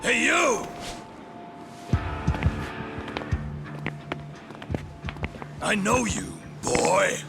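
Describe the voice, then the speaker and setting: Explosive, high-pitched snarling yakuza thug. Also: male voice, wildly swooping pitch, takes it slowly, vocal fry, over loud music, exaggerated voice. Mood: menacing, gloating. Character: loud, menacing male yakuza thug, hot-tempered bully. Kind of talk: English video game dub acting.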